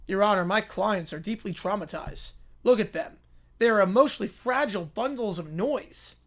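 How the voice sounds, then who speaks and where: A woman voicing a smooth-talking, self-promoting huckster lawyer with showy, formal salesman patter in a comedy skit. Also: medium pitch, normal speed, close to an everyday voice. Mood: mock-solemn pleading.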